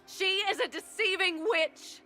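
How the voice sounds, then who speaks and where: A woman's voice: extremely high pitch, music in the background. A woman playing a proud, learned witch in a video game, speaking in formal, archaic, mysterious phrases. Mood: shocked, angry. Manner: archaic, measured, theatrical phrasing. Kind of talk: video game cutscene acting.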